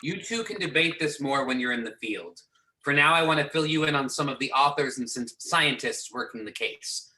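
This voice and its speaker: A man's voice: medium pitch, expressive, moderate pace, pushed and bright. A man playing a businesslike agency boss briefing agents, reading in an amateur readers theater over a video call. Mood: matter-of-fact. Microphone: video or conference call. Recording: echoey room over video conference.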